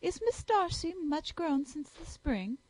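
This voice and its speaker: A woman's voice: high-pitched, very animated, unhurried, darkish tone, no echo, pitched above the performer's own voice. A woman doing a gushing, snobbish young society lady. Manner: gushing, fawning. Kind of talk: audiobook character voice.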